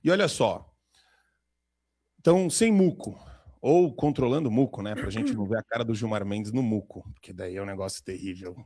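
A man's voice: on the low side, animated, moderate pace, dark tone. A man hosting a live political talk show, joking and sarcastic, with a stuffy nose from a cold.

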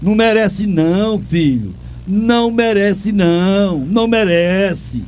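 Older pernambuco guy ranting, repeats himself a lot, highish voice. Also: expressive, slow pace, darkish tone, a little hiss or hum. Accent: Northeastern Brazilian, Pernambuco. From Pernambuco, Brazil. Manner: indignant, emphatic, repeats himself. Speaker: radio show host, former sports reporter. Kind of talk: ranting commentary.

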